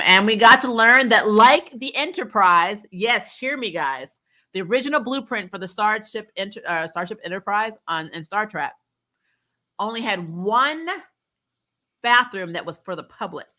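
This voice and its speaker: A woman giving a casual, opinionated recap as an online reviewer, expressive and informal in her slangy delivery. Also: lowish voice, moderate pace. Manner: expressive, informal slang, frequent laughing asides. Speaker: YouTube TV show reviewer, host.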